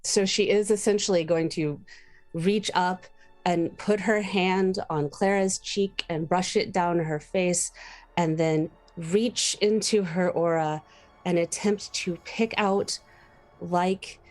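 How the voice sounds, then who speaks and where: A woman playing a tabletop role-playing game, informally thinking aloud as she narrates her character's actions with trailing pauses. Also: fairly low pitch, darkish tone.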